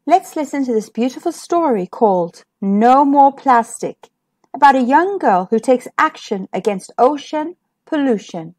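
Woman, high voice, slow pace, picture-book narration, expressive, emphasized key words. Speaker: storyteller, host of a children's read-aloud show. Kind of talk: children's picture-book read-aloud.

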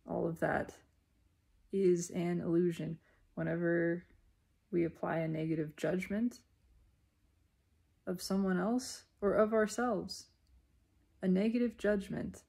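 A woman giving a reflective spiritual monologue in a deliberate manner, pausing mid-sentence and speaking in short phrases. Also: on the low side, vocal fry, dark tone, breathy edge. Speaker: spiritual self-reflection vlogger.

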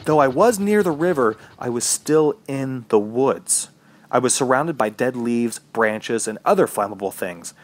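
An actor playing an injured, desperate man trapped in the woods, narrating a horror radio drama in a halting, dramatic way. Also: medium pitch, animated. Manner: dramatic, halting, with anguished outbursts. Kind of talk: first-person horror radio drama monologue. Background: music.

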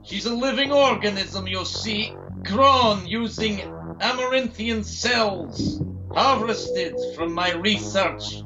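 A sinister doctor villain played by a man in an audio drama. He speaks slowly and theatrically, with mocking grandeur and cunning. Music plays clearly beneath his voice. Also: high voice, highs cut off. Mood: smugly explaining. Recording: loud music under the voice.

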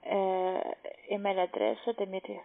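Female call centre agent, non-native speaker, halting delivery, medium pitch, narrowband phone sound. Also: steady tone, creaky. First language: not German. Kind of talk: phone sales call, step-by-step instructions. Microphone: telephone. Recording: muffled narrowband phone line.

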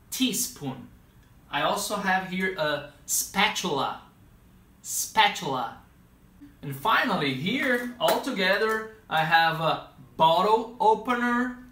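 A man in his thirties teaching vocabulary, speaking deliberately with clear enunciation and repeating key words, recorded in a small home kitchen. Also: a little high, pushed and bright, slight background noise. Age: 30s. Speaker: English teacher. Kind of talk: vocabulary lesson, demonstrating words. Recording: echoey room sound.

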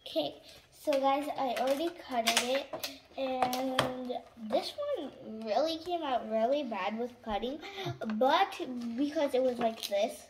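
A young girl with a high voice reviews a toy in a casual, hands-on way. She talks slowly, and the home recording has clear background noise with clicks. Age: child. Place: indoor room at a table.

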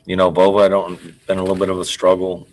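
A middle-aged man, a basketball coach, answering press questions over a video call, halting and trailing off quietly as he restarts sentences. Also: deep voice, monotone, a little hiss or hum, poor audio. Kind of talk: postgame press conference answers. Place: indoor room in front of a team backdrop. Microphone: video call computer mic.

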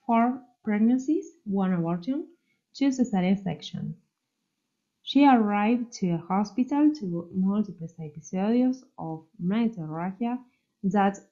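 Slow halting woman, very dark voice. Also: medium pitch, muffled recording. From Argentina. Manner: halting, careful, non-fluent English. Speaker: medical resident presenting a case. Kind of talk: reading a case presentation.

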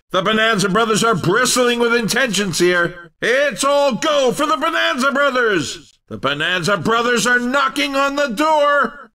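An actor playing an excitable video game race commentator, calling the action in a punchy, emphatic, hyped style. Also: male voice, extremely high pitch, far from the mic, exaggerated voice. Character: excitable, fast-reacting male video game race announcer.

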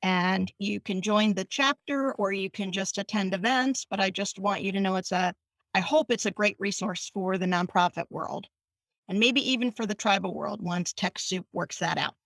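A woman welcomes attendees to an online nonprofit meeting over a video call, her talk chatty and informal. Her voice has a middle pitch and a close, dry sound. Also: normal speed, fairly bright tone, dry recording, poor audio. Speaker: chapter co-host. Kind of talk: event welcome.

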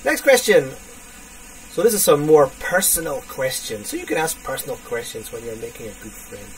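A man in his thirties teaching a basic English lesson, speaking slowly and repeating phrases for learners. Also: a little high, sing-song. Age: 30s. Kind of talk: online English lesson for students. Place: indoors, small room. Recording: distant webcam mic with faint hiss.

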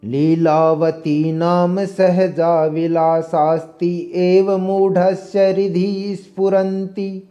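A man giving a scripted, solemn and deliberate narration, as in a recital of verse and commentary. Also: high voice, flat delivery, dark tone. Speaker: narrator reciting Sanskrit verses and explaining them. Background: instrumental music.

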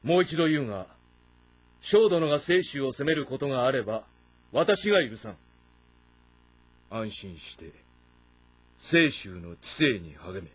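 Commanding, husky warlord. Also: male voice, medium pitch, sing-song, unhurried, long pauses. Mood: stern. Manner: authoritative, terse. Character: commanding, shrewd male warlord of ancient China. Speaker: voice actor. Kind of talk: dramatic audio-novel game acting.

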